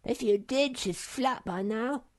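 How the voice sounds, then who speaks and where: A man's voice: very high-pitched, sing-song, unhurried, vocal fry, pitched above the performer's own voice, heavily acted. A man voicing Sniff, a small whiny male creature in a storybook, peevish and smug.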